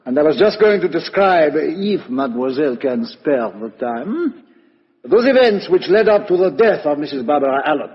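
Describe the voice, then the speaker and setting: A muffled vintage radio recording with long hall reverb carries a shrewd male foreign detective. His pitch swings widely as he reasons deliberately and courteously, building drama with rhetorical questions. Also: very animated. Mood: grave, courteous. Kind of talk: 1950s radio play acting.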